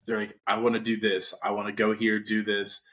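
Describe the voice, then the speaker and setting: A man's voice: on the low side, monotone, a little fast, a bit bright. A man hosting a podcast, joking and casual as he interviews a guest. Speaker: podcast host.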